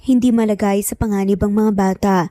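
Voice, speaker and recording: A woman's voice: mid-range voice, steady tone, brisk, dark tone, dry recording, natural voice. A woman voicing a guarded, firm young single mother in an audio drama, with a Filipino accent. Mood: earnest, resolved.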